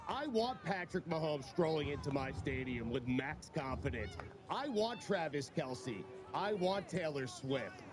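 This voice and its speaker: A man's voice: a little high, big pitch swings, unhurried. A man on a sports talk show making a bold claim with mock seriousness. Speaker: show regular. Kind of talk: studio talk show chat.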